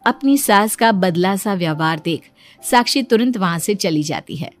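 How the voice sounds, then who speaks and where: A woman's voice: mid-range voice, lively intonation, normal speed, faint music behind. A woman reading scripted narration for a moral story, as its narrator.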